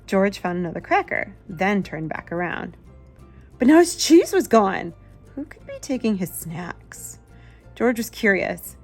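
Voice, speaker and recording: Female storyteller for young kids, medium pitch, expressive picture-book delivery, light music underneath. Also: measured pace, slightly rough. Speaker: children's book reader, channel host. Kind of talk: picture-book read-aloud with asides to a child.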